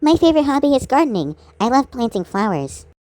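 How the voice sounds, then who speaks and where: A woman's voice: high-pitched, big pitch swings, quick pace, darkish tone, no room sound. A woman voicing a sweet, dreamy female flower-lover in an amateur cartoon. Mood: dreamy, pleased.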